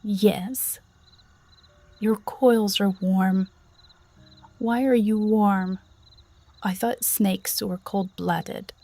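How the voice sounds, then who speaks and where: A woman's voice: mid-range voice, steady tone, very slow, pauses often, dark tone. A woman playing a bold, playful visitor teasing a serpent shifter in an ASMR roleplay.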